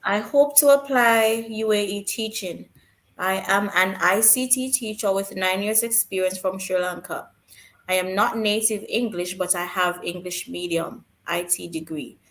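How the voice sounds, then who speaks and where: A woman's voice: mid-range voice, unhurried, fairly bright tone, echoey room. A woman co-hosting a live stream, answering viewers' questions about teaching jobs and repeating her key points to stress them.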